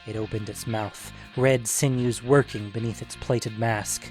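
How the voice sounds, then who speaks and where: A man narrating a scripted fantasy story in a measured, suspenseful, dramatic audiobook manner. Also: lowish voice, fairly even intonation, a little mellow, faint music behind, poor audio.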